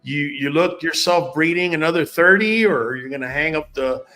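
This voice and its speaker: A man's voice: a little high, moderate pace, sharp tone, faint music behind. A man hosting a casual livestream, talking straight to his viewers in a chatty way and often repeating words. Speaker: livestream host.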